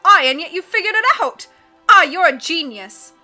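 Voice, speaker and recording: A woman reading aloud and voicing a blustering male sea captain who booms and keeps saying "aye". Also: expressive, normal speed, pushed and bright, soft background music, full character voice. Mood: boisterous. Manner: bellowing, peppers speech with "aye".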